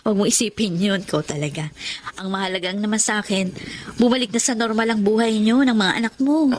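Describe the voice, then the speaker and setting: An actress playing a kind, generous young woman in a Tagalog radio drama, speaking gently and reassuringly. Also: medium pitch, brisk, slight background noise, natural voice. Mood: warm, caring.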